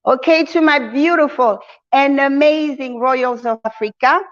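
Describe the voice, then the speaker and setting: A woman's voice: sits high, unhurried, lo-fi sound. A woman hosting a channel, giving an emphatic, preacher-like motivational address to her followers, full of endearments for her listeners. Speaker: channel host, community leader.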